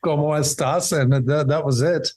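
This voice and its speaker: A man, talking easygoingly as a podcast guest over a remote call, with fillers and pauses. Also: on the high side, steady tone, a little mellow. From Belgium. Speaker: podcast guest, documentary filmmaker. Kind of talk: casual interview chat. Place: home room with window.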